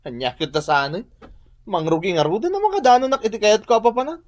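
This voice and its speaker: A man's voice: high-pitched, animated, brisk. An actor playing an ambitious, proud young man in a radio drama, speaking with an Ilocano accent. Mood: defiant, scornful. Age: young adult. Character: ambitious, proud young son scorning his poor village.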